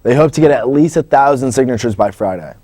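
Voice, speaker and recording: A man with a medium-pitched voice co-anchors a student newscast. He delivers short scripted items in a presenter's manner. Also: expressive, fast talker, mellow, no room sound, studio quality. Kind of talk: scripted news reading.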